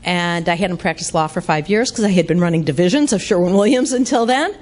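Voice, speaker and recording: A woman's voice: on the low side, brisk, a little mellow. A middle-aged woman with a big voice, a guest lawyer telling her career story to an audience with self-deprecating humor. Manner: self-deprecating, laughs through anecdotes.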